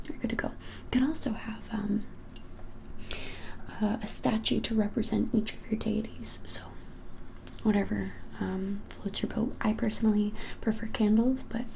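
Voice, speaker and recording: A woman in her twenties whispering a casual ASMR shopkeeper roleplay in a breathy, halting, helpful way. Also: medium pitch, a little hiss or hum. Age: 20s. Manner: soft, hesitant, halting, helpful explaining. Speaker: ASMR creator playing a witch shop keeper. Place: small plain indoor room.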